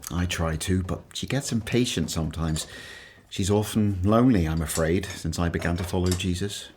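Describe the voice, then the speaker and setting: An actor playing a humble, self-doubting former tax collector in a radio play, earnest and hesitant. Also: male voice, deep voice, moderate pace, darkish tone, a little hiss or hum, natural voice. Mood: grateful, wistful.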